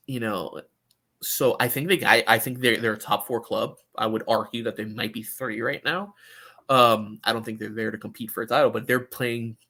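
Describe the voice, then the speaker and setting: A man's voice: on the low side, vocal fry, pushed and bright. A man hosting a sports podcast, chatting casually about soccer and often repeating words as he thinks aloud.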